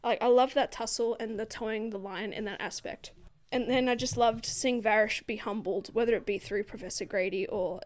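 A woman's voice: on the high side, normal speed, few pauses. Chatty woman who swears and laughs. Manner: swears freely, laughs often, animated. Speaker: book podcast co-host. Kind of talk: casual chat.

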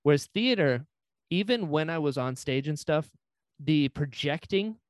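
A soft-spoken man chatting casually as a podcast host. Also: fairly high pitch, lively intonation, unhurried, dry recording, clean studio sound. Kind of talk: casual chat.

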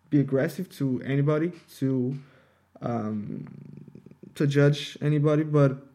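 Hesitant young man with a Portuguese accent, slow-paced. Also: a little high, darkish tone. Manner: hesitant, halting, searching for words. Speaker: podcast host. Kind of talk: unscripted personal podcast monologue.

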